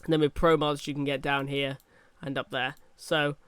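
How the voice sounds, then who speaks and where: A man's voice: a little high, little pitch movement, normal speed, fairly bright tone, clean tone, no room sound. A young man with a cold, chatting casually over a truck driving game, rambling with fillers and restarts. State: has a cold. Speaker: gaming YouTuber. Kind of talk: casual gameplay commentary.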